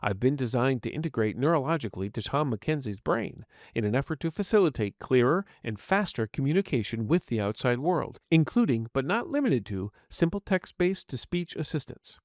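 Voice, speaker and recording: A man's voice: medium pitch, very animated, a little fast, hardly any pauses, no room sound, studio quality. A man voicing a polite, earnest artificial intelligence medical assistant in an audio story, speaking formally and courteously. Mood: clinical, explanatory. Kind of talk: scripted audiobook narration in character.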